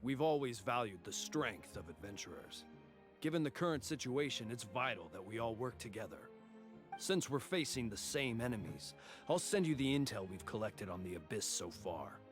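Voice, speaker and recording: A man voicing a courteous, steady, businesslike ally in a video game. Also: medium pitch, normal speed, soft background music, close to an everyday voice. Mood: cooperative.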